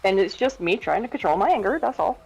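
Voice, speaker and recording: A man with a light, feminine-sounding voice, chatting casually as a stream co-host and quickly correcting himself. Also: high-pitched, lively intonation, vocal fry, darkish tone, husky, faint music behind. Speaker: livestream co-host. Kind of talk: casual chat.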